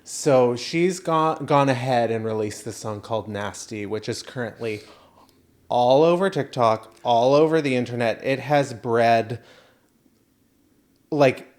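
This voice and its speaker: A man's voice: mid-range voice, a little slow, a little mellow. A man co-hosting a casual comedy chat, with a campy, playful, giggly manner and sibilant S sounds.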